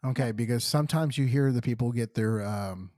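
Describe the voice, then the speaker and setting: A man's voice: mid-range voice, brisk, darkish tone, no room sound. A man hosting a casual podcast, joking around and asking his guest questions. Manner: joking, playful interviewer. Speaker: podcast host.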